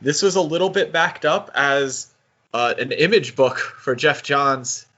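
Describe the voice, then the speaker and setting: A dull, slightly lo-fi recording of a man hosting a podcast. His pitch sits in the middle for a male voice. He talks casually and haltingly, feeling his way through his points in a conversational manner. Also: pushed and bright. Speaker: podcast host.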